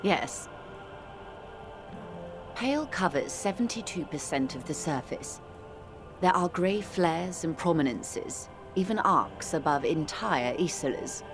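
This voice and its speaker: A worldly, composed woman of mature years speaks in a lowish register. She is eloquent and measured, with gentle condescension fit for narrative game dialogue. Music plays clearly beneath her. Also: expressive. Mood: wry, measured. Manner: measured, eloquent, calls the listener dear and darling. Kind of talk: dramatic video game dialogue. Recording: music playing under the voice.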